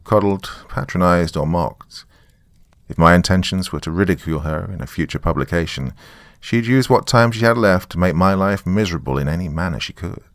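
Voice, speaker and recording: Man playing frightened writer, deep voice, weary, wry, conversational, dry recording, horror audio story. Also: no echo. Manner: musing, wry, conversational asides. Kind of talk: first-person horror story narration.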